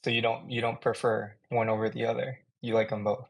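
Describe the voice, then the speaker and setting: A man's voice: mid-range voice, flat delivery, a little fast, vocal fry. A young man co-hosting a podcast, asking questions in a hesitant, stop-start way. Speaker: podcast co-host. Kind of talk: casual interviewing.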